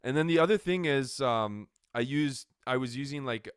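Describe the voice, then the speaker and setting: A loud, animated man from Canada chats on his podcast, scattering filler likes through his talk. His voice is smooth and resonant. The sound is close and dry on a good studio microphone. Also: medium pitch, normal speed, dry recording. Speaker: podcast co-host. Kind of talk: casual chat. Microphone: quality podcast microphone.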